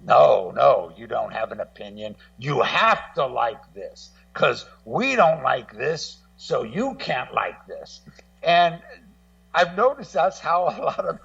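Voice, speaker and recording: A man in casual radio conversation, rambling and halting as he repeats words and acts out what other people say. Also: mid-range voice, sing-song, creaky, a bit bright, dry recording. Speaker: guest co-host and music documentary maker. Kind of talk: casual radio talk, telling anecdotes. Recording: muffled, slightly distant voice feed.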